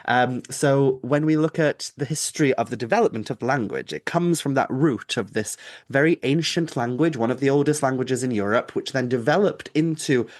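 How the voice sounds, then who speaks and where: A man's voice: medium pitch, normal speed. A man with a Welsh accent, a native Welsh speaker, patiently explaining things as a guest in a podcast interview, with frequent ums. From Wales. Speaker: guest author.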